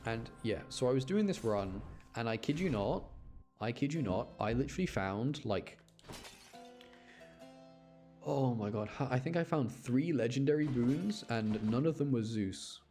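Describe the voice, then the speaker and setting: A man's voice: mid-range voice, animated, measured pace, mellow. A man giving casual, rambling live commentary on a game, chatting to his stream and often interrupting himself. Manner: rambling, self-interrupting, mild grumbling. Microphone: close streaming microphone. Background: game music.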